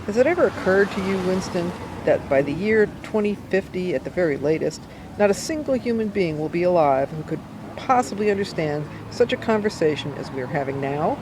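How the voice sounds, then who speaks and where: A woman reads an essay aloud outdoors, with deliberate, emphatic phrasing and pauses. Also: fairly low pitch, lively intonation. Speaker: amateur reader. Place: outdoors by a cafe in town. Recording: noisy outdoor street recording, traffic sounds. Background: urban outdoor ambience, vehicles.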